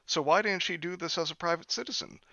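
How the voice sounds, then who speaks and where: Bright, dry-voiced man reading sarcastically. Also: on the high side, fast, creaky, dry recording, dull audio. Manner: sarcastic asides between read passages. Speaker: host reading a book aloud. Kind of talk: mocking live-stream book reading.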